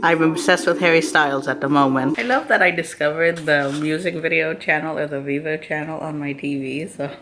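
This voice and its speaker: A woman's voice: low voice, a little fast, hardly any pauses, a crowd in the background. A woman talking in a casual, chatty, informal way to her vlog at home. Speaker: vlogger. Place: indoors at home, living room and kitchen. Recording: noisy home camera audio.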